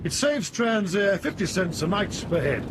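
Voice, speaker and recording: An actor playing a smug, flirtatious British airline captain in a sardonic, self-important manner, in a 1970s radio drama. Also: male voice, very animated, moderate pace. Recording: old radio recording, heavy engine rumble.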